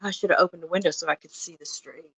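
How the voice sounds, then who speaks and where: Woman, cooking livestream host, low voice, loose rambling chat, dry phone-mic sound. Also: fairly even intonation, very fast, breathy edge, dry recording, rough recording. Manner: rambling, talks to viewers while working. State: voice lower than usual from a cough. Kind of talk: casual livestream chat while baking. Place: home kitchen.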